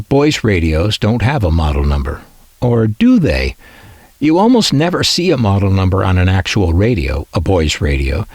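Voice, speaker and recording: A man's voice: lowish voice, no echo. A man narrating a scripted collector's video as host, with dry, tongue-in-cheek asides.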